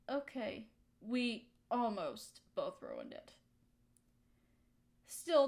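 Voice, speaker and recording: A woman acting a high-voiced, emotional young woman. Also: darkish tone, heavily acted. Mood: cautious, tender. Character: hurt young woman softening toward her best friend and crush. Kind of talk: intimate roleplay audio drama monologue.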